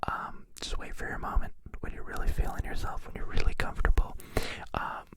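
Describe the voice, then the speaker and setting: A young man hosting an ASMR livestream in a close-miked whisper, chatting playfully and joking with viewers. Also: fairly quick. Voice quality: raspy when soft speaking. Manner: playful, joking, answering chat questions.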